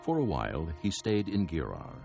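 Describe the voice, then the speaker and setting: A man's voice: deep voice, wildly swooping pitch, moderate pace, creaky, highs cut off, over music. A man narrating a Bible dramatization in a solemn storytelling style.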